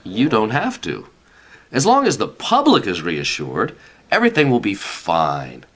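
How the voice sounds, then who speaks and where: A man's voice: fairly low pitch, wildly swooping pitch, a little slow, fairly bright tone, breathy edge, full character voice. An actor playing a jovial, patronizing older male official who reassures in an emphatic, avuncular way, in a comedic radio play. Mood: confident, smug.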